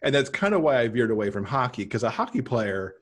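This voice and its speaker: A man's voice: medium pitch, big pitch swings, a little fast, slightly rough. A middle-aged American man from Michigan chatting casually as a guest author on a video call. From Michigan, United States. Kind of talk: casual interview chat. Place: indoor room, video call.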